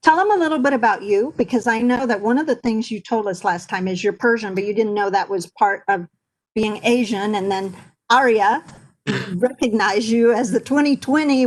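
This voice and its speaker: A woman with a slightly raised pitch hosts a webinar over a video call. She prompts her guest with long, run-on questions. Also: normal speed, poor audio. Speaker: webinar host.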